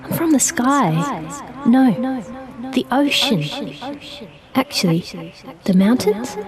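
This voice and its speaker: A woman voicing Water, a confused, innocent water spirit in a storybook, slowly wondering and questioning. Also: a little high, lively intonation, a crowd in the background, voice pushed up. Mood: confused, searching. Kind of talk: storybook character dialogue.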